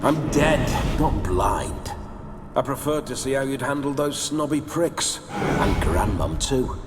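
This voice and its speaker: A man's voice: medium pitch, lively intonation, slow. An actor playing a roguish, bawdy ghost of a dead nobleman in a video game, with theatrical, swaggering, old-fashioned speech. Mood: wry, boastful. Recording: long reverb, music behind.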